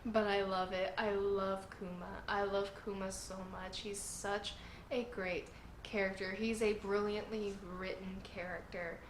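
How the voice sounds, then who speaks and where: A woman's voice: mid-range voice, flat delivery, measured pace, a little hiss or hum. Woman host, sore-throated and emotional, reviewing from a roomy space. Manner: earnest and emotional, gushing. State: sore throat, voice sounding strained. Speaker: channel host reviewing manga chapters. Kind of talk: casual video review.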